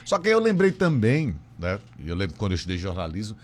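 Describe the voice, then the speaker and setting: A man's voice: lowish voice, huge pitch swings, fast talker. A man from Northeastern Brazil with a regional accent, hosting a casual radio interview in an effusive, conversational way full of tag questions. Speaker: radio host.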